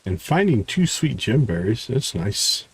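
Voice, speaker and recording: A man giving casual live video game commentary, chatting and thinking out loud. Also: medium pitch, very animated, unhurried, husky. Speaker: gaming streamer.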